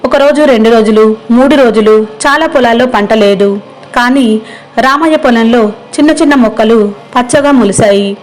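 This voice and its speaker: Higher-pitched woman narrating a story. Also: normal speed, poor audio. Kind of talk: scripted moral story narration.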